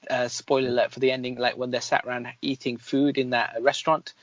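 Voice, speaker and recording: A man's voice: mid-range voice, level tone, quick pace, dry recording. Man, podcast reviewer, casual and critical, close dry sound, slightly lo-fi. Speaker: podcast host reviewing films.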